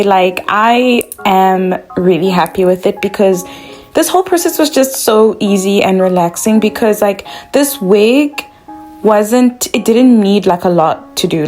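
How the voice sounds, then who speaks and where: A woman's voice: medium pitch, a little mellow. Bubbly chatty young woman from South Africa. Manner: bubbly and chatty, lots of "like" fillers. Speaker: makeup vlogger. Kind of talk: casual makeup tutorial.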